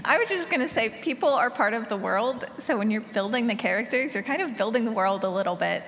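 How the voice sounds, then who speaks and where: A woman's voice: on the high side, lively intonation, brisk, a bit bright. A woman speaking off the cuff on a panel as an audio drama creator. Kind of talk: unscripted panel discussion. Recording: echoey hall with faint noise.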